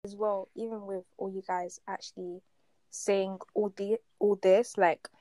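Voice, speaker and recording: A woman's voice: mid-range voice, flat delivery, unhurried, warm tone, dry recording. A woman hosting a podcast in an informal, conversational way. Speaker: podcast host.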